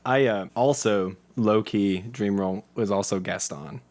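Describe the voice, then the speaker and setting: A man's voice: lowish voice, unhurried, a little mellow. A young man being interviewed as a community theatre actor, chatting casually with slangy enthusiasm. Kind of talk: casual chat.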